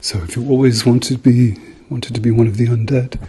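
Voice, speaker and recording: A man doing a soft-spoken, often whispered ASMR roleplay as a gentle, reassuring doctor with a dryly playful manner. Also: medium pitch, steady tone, a little fast, a little hiss or hum. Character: calm, reassuring male doctor treating a patient.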